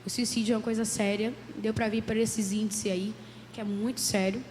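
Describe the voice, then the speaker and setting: A hoarse woman with a cold, a psychologist giving an earnest church talk and often asking "né?". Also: medium pitch, little pitch movement, fairly quick, a little mellow. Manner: earnest, many "né?" tags. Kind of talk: church lecture. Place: church hall. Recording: roomy hall with faint background noise.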